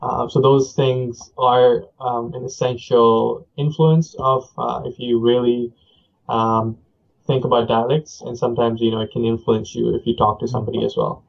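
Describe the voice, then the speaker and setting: Soft-spoken Indian-born man, flat delivery. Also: mid-range voice, normal speed, vocal fry. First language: Punjabi. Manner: thoughtful, many fillers and restarts. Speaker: guest. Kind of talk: conversational interview. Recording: dull lo-fi audio.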